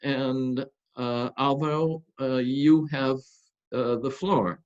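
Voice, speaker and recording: A man's voice: mid-range voice, fairly even intonation, slow, a little mellow. Man hosting a seminar, muffled lo-fi audio. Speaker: seminar host introducing a guest speaker. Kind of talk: semi-formal spoken introduction at an online academic seminar.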